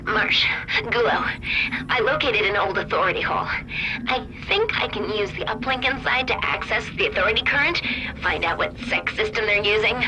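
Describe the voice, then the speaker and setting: A woman's voice: medium pitch, lively intonation, moderate pace, over music, natural voice, poor audio. An actress playing a twitchy, loyal female infiltrator in a video game, reporting in clipped phrases. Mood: wary. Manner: clipped, colloquial field-report delivery.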